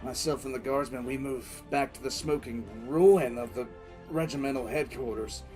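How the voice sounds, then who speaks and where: A man playing a battered, dutiful military commissar in an audio drama, narrating battle in the first person with intense, halting delivery. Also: medium pitch, darkish tone, lightly acted. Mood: weary, shaken. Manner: intense, halting, repeats phrases. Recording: background music under the voice. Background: music.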